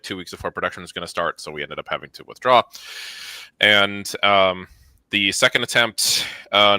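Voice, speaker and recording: A man speaking as an interview guest, casually recounting things with frequent pauses and a wry touch. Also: lowish voice, pushed and bright, smooth, no echo. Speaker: interview guest, studio co-founder.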